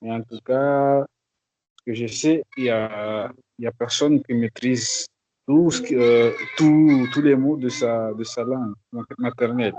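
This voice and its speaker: A man's voice: mid-range voice, steady tone, a little slow, warm tone, dull audio, a little hiss or hum. A man from the Comoros, a student, commenting hesitantly in an online class. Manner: hesitant, with restarts.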